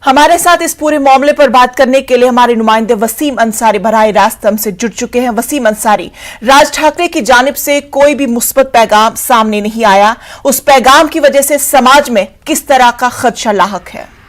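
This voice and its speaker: Lady news anchor, higher voice, formal diction, asking the reporter questions on air. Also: normal speed. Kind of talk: formal broadcast news interviewing.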